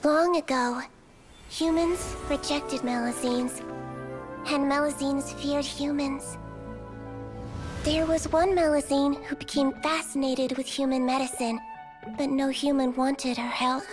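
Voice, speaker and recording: A woman voicing a small, gentle female Melusine doctor in a video game, telling a story softly. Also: high voice, flat delivery, unhurried. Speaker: game character. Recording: game audio with clear music behind.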